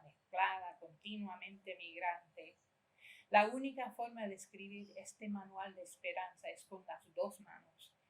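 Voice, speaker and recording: An older woman from Puerto Rico giving a keynote talk in a university amphitheater, reading her own prose in a measured, lyrical way and switching between Spanish and English. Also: medium pitch, a bit bright, rough recording.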